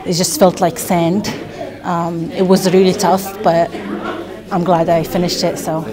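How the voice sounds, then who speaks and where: A woman in her thirties from Morocco, talking casually about running a race, relaxed with fillers. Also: lowish voice, unhurried. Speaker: race participant. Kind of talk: casual interview. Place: outdoors at a race event. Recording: crowd audible behind.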